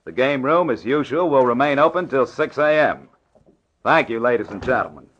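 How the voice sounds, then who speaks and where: A man's voice: mid-range voice, animated, normal speed, fairly bright tone, a little hiss or hum, lightly acted. An actor playing a smug, crooked nightclub gambling boss in an old radio drama, with slangy tough-guy talk. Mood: formal announcing. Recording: dull old radio recording.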